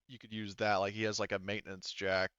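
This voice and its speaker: Guy game mastering, casual talk, bright smooth voice, kinda low. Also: level tone, fast, no echo, lo-fi sound. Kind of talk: casual tabletop roleplaying game refereeing.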